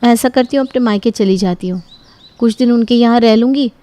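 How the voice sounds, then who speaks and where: A woman playing a young married daughter from a wealthy home, aggrieved and entitled, in an animated moral story. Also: fairly high pitch, fairly quick, dry recording, lightly acted. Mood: pleading, reasoning.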